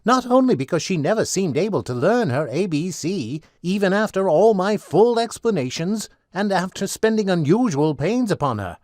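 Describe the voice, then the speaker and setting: Casting: fussy pedantic schoolmaster, high male voice, very animated delivery, pompous and wordy, classic storybook drama. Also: moderate pace, few pauses, dry recording, studio quality. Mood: amazed, pompous. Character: pedantic, long-winded male tutor, earnest and astonished. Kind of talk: full-cast audiobook acting.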